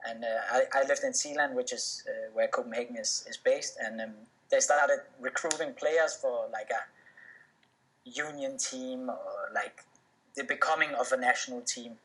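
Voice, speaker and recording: A man's voice: mid-range voice, expressive, moderate pace, a bit bright, husky, rough recording. A young man, a native Danish speaker, answering interview questions casually, with many fillers and restarts. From Denmark. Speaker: professional footballer, interview guest.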